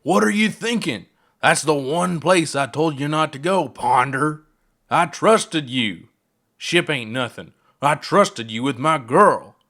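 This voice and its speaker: Guy doing a rugged old pilot dad, folksy talk, voice pushed up high, protective. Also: lively intonation, takes it slowly, fairly bright tone, heavily acted. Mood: furious. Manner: folksy, rough grammar.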